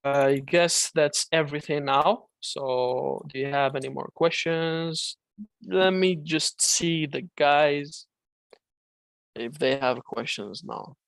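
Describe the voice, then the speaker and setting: A man runs a live online trading lesson in a loose, coaching way, answering chat questions as they come. His voice sits fairly high with a gravelly edge. The sound is close and dry. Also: takes it slowly, fairly bright tone, dry recording. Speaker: trading instructor.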